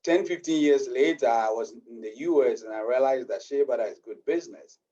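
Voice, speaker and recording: Ghanaian guy talking about his business, warm dark tone, kinda far from the mic, dull sound. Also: medium pitch, a little fast. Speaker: business owner guest. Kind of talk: interview answers.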